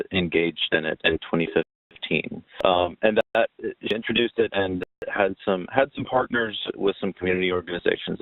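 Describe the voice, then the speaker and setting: Low-voiced man on a phone-like line. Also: dry recording. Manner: halting, pausing mid-sentence. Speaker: webinar presenter. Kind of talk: informal explanatory presentation. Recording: muffled, dry phone-like line.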